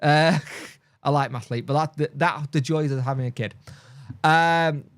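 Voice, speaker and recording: A man's voice: a little high, measured pace, clear, resonant tone, no echo. Tired man chatting on a stream. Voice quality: mild speech impediment. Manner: rambling, blunt, frequent fillers and restarts. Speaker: football fan channel host. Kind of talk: casual live-stream chat reading viewer comments.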